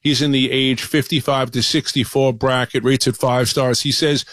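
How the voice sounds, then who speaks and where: A man's voice: mid-range voice, flat delivery, normal speed, fairly bright tone, dry recording. Male doctor guest, medium register, conversational promotional enthusiasm, dry studio sound.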